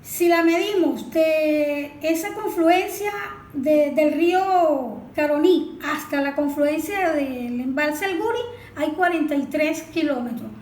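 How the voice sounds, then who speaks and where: A woman's voice: high-pitched, slow, a little mellow. A woman with a Venezuelan accent giving a didactic technical explanation as a specialist, often posing rhetorical questions. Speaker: watershed management specialist, guest analyst. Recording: echoey room, background noise.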